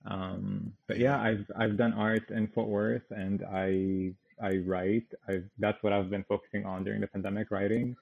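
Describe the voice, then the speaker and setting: Gravelly, husky man on a phone-like line. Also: fairly low pitch, little pitch movement, moderate pace, few pauses. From Damascus, Syria. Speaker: podcast guest, comedian, artist and writer. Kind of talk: casual interview conversation. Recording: tinny, band-limited phone-like sound.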